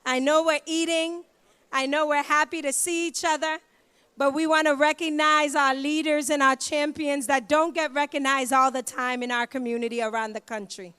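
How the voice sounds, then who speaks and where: Female emcee from Boston, Haitian Creole first language, very high and upbeat. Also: fairly even intonation, moderate pace, pushed and bright. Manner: upbeat, rallies the room with "give it up". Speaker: master of ceremonies. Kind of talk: gala hosting. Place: hotel banquet hall.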